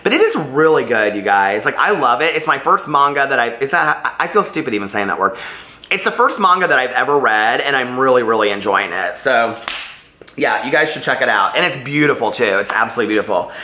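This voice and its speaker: A man's voice: mid-range voice, lively intonation, fairly quick, sharp tone, roomy sound. A man chatting casually and animatedly as a book vlogger, rambling off on tangents.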